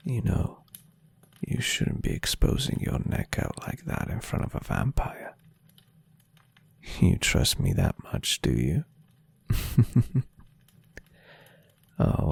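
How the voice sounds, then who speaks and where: A man plays a centuries-old vampire boyfriend for intimate ASMR. His low voice is very breathy and husky, often close to a whisper. He murmurs slowly and tenderly, adoring and playfully teasing. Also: wildly swooping pitch, exaggerated voice. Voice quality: breathy, husky half-whisper with gravelly creak. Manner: soft, soothing, affectionate murmuring.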